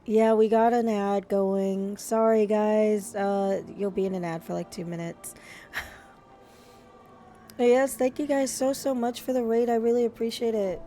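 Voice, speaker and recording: Slightly hoarse chatty woman streamer. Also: mid-range voice, fairly even intonation, measured pace, warm tone, soft background music. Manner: chatty, effusive, with frequent restarts. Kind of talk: casual live-stream chat.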